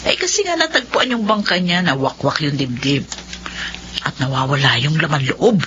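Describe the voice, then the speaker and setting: A woman's voice: deep voice, sing-song, moderate pace. An actress with a Filipino accent playing a worried, suspicious middle-aged village mother in a radio horror drama. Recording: muffled audio, faint noise.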